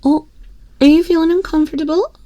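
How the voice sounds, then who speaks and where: A woman's voice: high-pitched, fairly even intonation, warm tone, no echo, highs cut off, lightly acted. A woman playing a haughty, condescending young empress in a scripted ASMR roleplay, teasing and imperious, speaking intimately into a close microphone. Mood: teasing, smug. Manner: imperious, slow, theatrical, teasing drawl with breathy whispers. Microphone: close binaural microphone.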